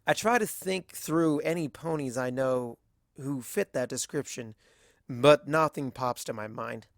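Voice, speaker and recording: A narrator giving an expressive first-person reading of a fan fiction story. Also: mid-range voice, measured pace, no room sound, clean studio sound.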